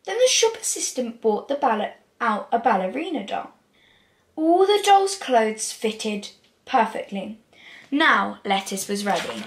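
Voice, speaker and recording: A woman's voice: fairly high pitch, a bit bright. A young girl reading a children's picture book aloud with careful expression and the odd stumble. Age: child, about 10 to 13. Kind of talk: read-aloud storytelling for children. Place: small room at home, indoors. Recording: echoey small room.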